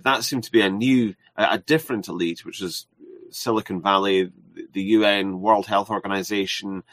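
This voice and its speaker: A man with a fairly low voice hosts a casual livestream interview. He talks haltingly, restarting sentences and filling pauses. The sound is dry and close. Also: fairly bright tone, no echo. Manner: hesitant, many restarts and fillers. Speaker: livestream host.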